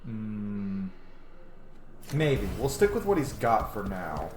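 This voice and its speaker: A man's voice: fairly low pitch, sing-song, slow, darkish tone. Man commentating a game in an echoey room. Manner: enthusiastic, muses aloud, casually profane. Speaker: gaming streamer, let's play host. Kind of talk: casual gameplay commentary. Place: indoor room at home. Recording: roomy echo, clear background noise.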